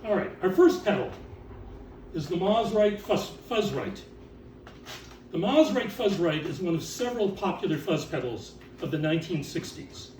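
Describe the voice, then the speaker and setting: A man reciting written text as author and curator, in a theatrical, deliberate way with drawn-out emphasis. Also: fairly high pitch, a little mellow. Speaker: author and exhibition curator reading aloud. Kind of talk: dramatic recitation of catalog text to an audience. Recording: roomy, distant mic with background noise.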